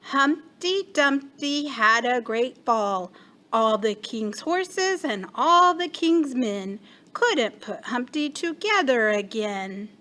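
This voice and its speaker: Slow, bright woman reading to children. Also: on the high side. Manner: deliberate, expressive phrasing for children. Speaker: children's teacher and story reader. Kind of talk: storybook read-aloud for young children.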